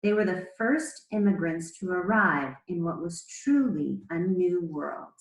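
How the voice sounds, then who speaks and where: A woman speaking as an elementary school teacher, reading aloud to children in a measured, explanatory way with pauses between phrases. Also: lowish voice, expressive, reverberant, poor audio. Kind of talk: read-aloud lesson to children.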